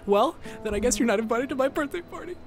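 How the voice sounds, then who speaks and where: A woman plays a cheeky, nerdy young male superhero in a game spoof. The voice is fairly high and animated, flippant and a bit petulant, over faint music. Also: fast talker. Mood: teasing. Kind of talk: improvised comedy game fandub.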